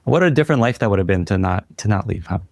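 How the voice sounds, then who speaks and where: A man originally from Ukraine, hosting a casual interview and asking questions in a relaxed, conversational way. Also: fairly low pitch, very fast, no echo, clean studio sound. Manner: conversational, easy laughs, thinking aloud. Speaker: podcast host.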